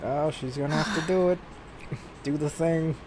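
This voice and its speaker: A woman's voice: deep voice, unhurried. A woman giving casual playthrough commentary as a game streamer, sometimes reading lines aloud. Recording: noisy, with game sound behind.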